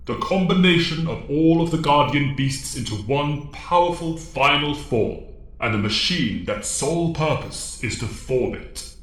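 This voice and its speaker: Man as stern alien mentor, audio drama, measured formal delivery, expressive pitch, heavy echo. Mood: grave, instructive.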